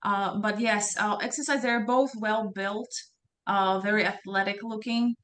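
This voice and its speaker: A woman guest on a video call answering in a careful, measured way with many fillers. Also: medium pitch, steady tone, fairly bright tone. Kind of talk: casual video-call chat.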